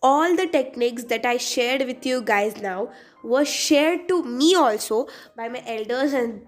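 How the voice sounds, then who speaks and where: A woman's voice: on the high side, measured pace, faint music behind. A teenage girl from India with an Indian English accent, whose first language is Telugu, giving earnest, chatty study advice in a vlog. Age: teens. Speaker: student vlogger.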